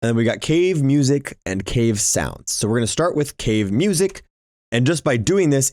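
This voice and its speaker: A man's voice: mid-range voice, a little mellow, no echo, clean studio sound. A man giving casual, exclamation-filled gameplay commentary, often talking to himself as he plays. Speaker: gaming YouTuber hosting a Minecraft series.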